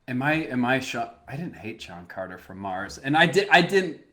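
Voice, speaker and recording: A man co-hosting a relaxed podcast, laughing often as he talks. His voice is mid-pitched, and he frequently stumbles, backs up and starts his sentences over. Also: normal speed. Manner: laughs easily, hesitant restarts. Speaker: podcast co-host. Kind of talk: casual podcast chat.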